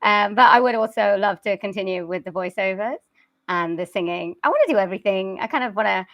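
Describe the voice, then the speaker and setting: A woman's voice: mid-range voice, fairly quick, a bit bright, no room sound. Chatty, giggly woman guest. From South Wales. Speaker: guest singer and voice-over artist. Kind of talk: casual interview.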